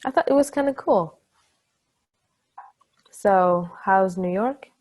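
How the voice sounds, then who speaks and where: A woman's voice: medium pitch, lively intonation, slow. A woman acting an affectionate, brainy, playful partner in a casual, conversational table-read style. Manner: casual, conversational, gently teasing.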